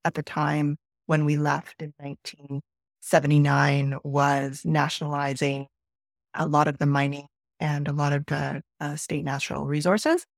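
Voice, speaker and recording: A middle-aged woman with a Canadian accent whose first language is Spanish, telling her own story as a podcast guest in a reflective, measured way. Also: low voice, little pitch movement, no echo, studio quality. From northern Alberta, Canada, born in Peru. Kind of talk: personal storytelling in an interview.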